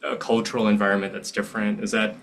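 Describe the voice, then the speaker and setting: A man's voice: lowish voice, level tone, fast, fairly bright tone. A man hosting a podcast interview, asking probing questions and checking in with his guest. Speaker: podcast host.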